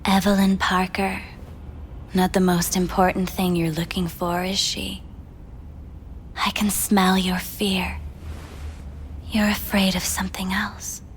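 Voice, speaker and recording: A woman playing a soft, probing, hypnotic pleasure-doll companion in a video game, speaking intimately and often in a whisper. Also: mid-range voice, flat delivery, slow pace, pauses often, slight background noise, natural voice.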